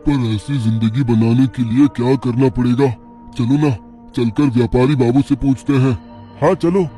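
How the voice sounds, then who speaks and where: A man's voice: mid-range voice, fairly even intonation, normal speed, natural voice. Cartoon villager male performer: naive, wishful, mid-range, strong music bed. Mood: envious, wistful. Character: simple, trusting male Indian villager, admiring and wishful. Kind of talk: Hindi cartoon moral story dialogue. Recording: loud music under the voice.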